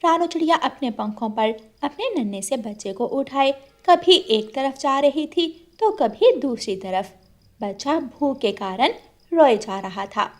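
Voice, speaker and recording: A woman's voice: sits high, animated, unhurried. A woman narrating a scripted children's cartoon story as the storyteller.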